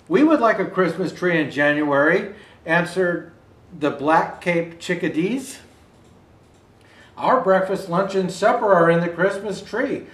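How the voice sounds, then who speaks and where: An older man in the role of a Santa storyteller, reading a children's picture book aloud expressively with asides to viewers. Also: high-pitched. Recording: roomy indoor echo.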